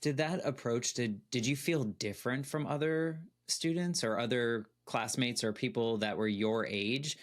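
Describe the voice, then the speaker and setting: A man's voice: mid-range voice, a little slow, few pauses. A man hosting a podcast interview, asking questions in an encouraging, conversational way. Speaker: podcast host.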